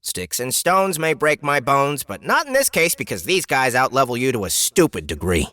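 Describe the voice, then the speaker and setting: A man's voice: mid-range voice, sing-song, normal speed, bright, forceful tone. A man voicing a sarcastic, taunting game-system spirit with a snarky, mocking manner.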